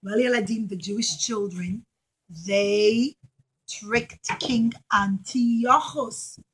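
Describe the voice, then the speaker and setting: A woman's voice: medium pitch, slow pace, a bit bright, breathy edge, rough recording. A woman telling a story to young children in simple, repeated phrases, often putting questions to her listeners.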